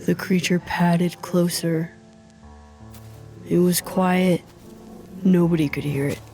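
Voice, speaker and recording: Slow, watchful, eerie narrator. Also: female voice, lowish voice, warm tone. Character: watchful, eerie narrator quietly observing a stalking creature. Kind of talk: audio drama narration.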